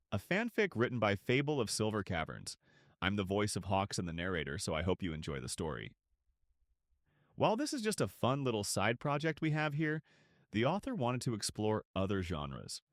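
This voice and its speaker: Deep, expressive male story narrator. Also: moderate pace, no echo, studio quality. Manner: expressive, dramatic. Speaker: narrator and voice actor. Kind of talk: scripted dramatic story narration.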